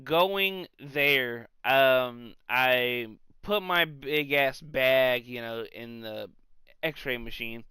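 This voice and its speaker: A man co-hosting a podcast, telling comic anecdotes in a casual, animated, sweary way. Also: mid-range voice, extremely slow, clear, resonant tone, no echo. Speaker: podcast co-host.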